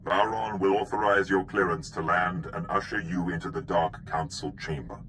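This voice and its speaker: A deep, hard-edged male Sith servant from a video game. He is formal, grave and commanding, with urgency in his delivery. Faint ambient noise sits behind the voice. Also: fairly even intonation, moderate pace, a bit bright, poor audio. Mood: grave, urgent. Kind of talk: dramatic video game voice acting.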